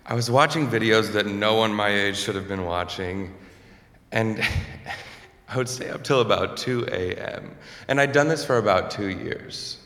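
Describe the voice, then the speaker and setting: A young man, a student with a low voice, telling a personal story to an audience in an earnest, candid way. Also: a little slow, a bit bright. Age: young adult. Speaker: student speaker. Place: lecture hall. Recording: echoey hall with long reverb.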